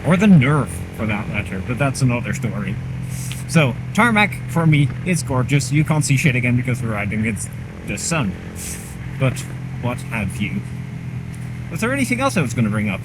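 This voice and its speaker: A man's voice: mid-range voice, big pitch swings, normal speed. Man vlogging from a motorbike helmet. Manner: chatty, rambling, self-mocking. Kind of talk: casual running commentary while riding. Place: on a motorcycle on a country road outdoors. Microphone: helmet mic. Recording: helmet mic with clear engine noise. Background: engine and wind noise.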